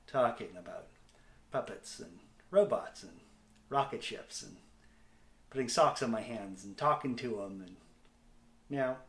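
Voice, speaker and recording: A middle-aged man with a Canadian accent talking casually to a video diary in a candid, self-deprecating, halting way. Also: mid-range voice, expressive. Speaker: comedic actor and video diary host. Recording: echoey, roomy sound.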